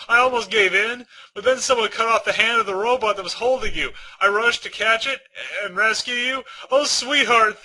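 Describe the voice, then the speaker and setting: Man voicing an emotional young hedgehog hero, high-pitched. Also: sharp tone, exaggerated voice, low-quality recording. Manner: exclamatory, emphatic, emotional. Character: heroic young male electric hedgehog, hot-tempered, devoted, emotional.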